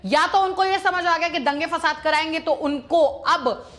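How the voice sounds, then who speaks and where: A woman's voice: very high voice, measured pace, fairly bright tone. A woman presenting opinionated news commentary in an emphatic, accusatory, repetitive way.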